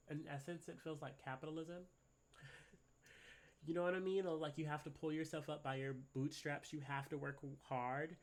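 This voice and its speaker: A man's voice: on the high side, vocal fry. A man with a congested voice from a cold, giving a casual tarot reading at a desk and rambling with lots of "right?" and fillers. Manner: rambling, filler-heavy, keeps asking "right?". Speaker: tarot reader. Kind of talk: casual spiritual reading to camera. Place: at a desk indoors.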